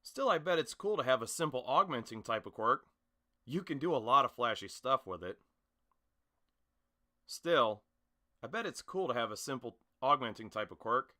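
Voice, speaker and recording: Casting: brash, spirited teen superhero student for an anime dub, forceful and bright, punchy delivery, performed by a man. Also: mid-range voice, animated, moderate pace, pauses often. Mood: upbeat, admiring. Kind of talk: anime dub audition acting.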